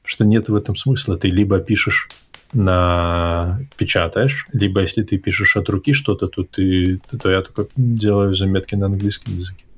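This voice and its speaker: A man with a deep, slightly husky voice talks in a loose conversational way. He hesitates, circles back and rephrases as he thinks. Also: fairly even intonation, moderate pace. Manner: hesitant, self-correcting, reflective. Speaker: podcast host. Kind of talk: casual conversational interview. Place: abroad, in an English-speaking country.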